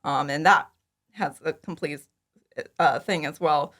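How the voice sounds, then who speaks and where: A woman's voice: deep voice, unhurried, a bit bright. A woman talking casually and informally as an interview guest, chatty and prone to acting out her own remarks. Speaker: podcast guest, fan audio drama creator.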